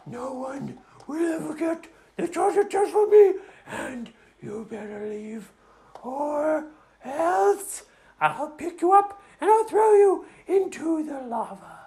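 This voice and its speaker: Shrill, shrieking girl dragon. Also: lively intonation, slow pace, warm tone, exaggerated voice. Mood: threatening, defiant. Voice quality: shrill, squawky. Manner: indignant, whiny outbursts. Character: huge touchy girl dragon, vain, greedy, quick to rage.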